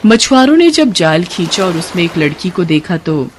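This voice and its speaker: A woman's voice: on the low side, sing-song, moderate pace, a little hiss or hum. A woman narrating a scripted moral bedtime story.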